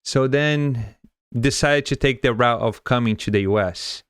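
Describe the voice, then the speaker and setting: A Brazilian-born man hosts the interview with a smooth, resonant voice, captured dry and close with almost no room sound. He is chatty and enthusiastic and keeps agreeing with his guest. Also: medium pitch, a little slow, a bit bright, dry recording. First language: Portuguese. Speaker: podcast host. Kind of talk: casual conversational interview.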